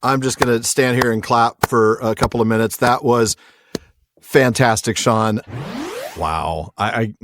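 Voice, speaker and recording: A man's voice: medium pitch, unhurried, noisy background. A man co-hosting a podcast, talking casually and with effusive enthusiasm about a book. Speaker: podcast co-host.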